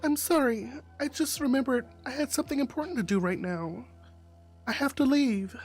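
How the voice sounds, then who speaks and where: A streamer voicing an earnest high-pitched young woman. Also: normal speed, slightly rough, soft background music. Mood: flustered, apologetic. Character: earnest, apologetic young woman, visual novel heroine.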